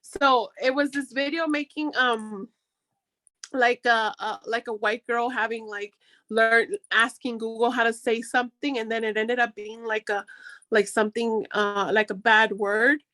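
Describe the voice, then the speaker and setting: A woman's voice: on the high side, steady tone, unhurried, bright, forceful tone, dry recording. A woman from Mexico whose first language is Spanish, talking casually and encouragingly in a workshop. She talks in a chatty way and often restarts her sentences.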